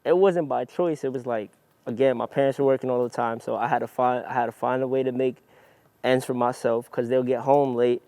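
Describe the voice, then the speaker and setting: A young man from Brooklyn who talks fast and briskly, with a mellow, darker tone. He calls his friends "bro" as he goes. The recording is close and dry. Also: medium pitch, fairly even intonation, no echo. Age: young adult. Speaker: student panelist. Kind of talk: casual group discussion.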